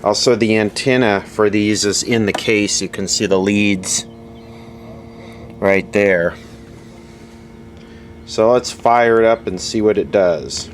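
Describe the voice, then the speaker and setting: A man with a low voice talks casually through a repair at his workbench. His delivery is unscripted and matter-of-fact. The amateur camera audio carries faint background noise. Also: fairly even intonation, slow pace, pauses often. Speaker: vintage radio repair hobbyist presenting. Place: indoor workshop at a workbench.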